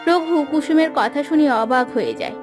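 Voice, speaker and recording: A woman's voice: sits high, expressive, moderate pace, over music. A woman narrating a cartoon moral tale in a scripted storytelling style.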